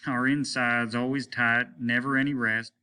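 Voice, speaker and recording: A man reading a story and voicing an irritable, weary, aging rocket captain who is commanding and scornful, snapping out indignant questions in a sharp voice. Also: mid-range voice, flat delivery, normal speed, clear, resonant tone. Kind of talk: audiobook character voice.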